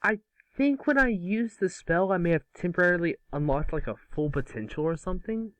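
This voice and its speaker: A man playing a nervous, excitable young male student spellcaster in an amateur table read. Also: high voice, brisk, no echo, pitched above the performer's own voice.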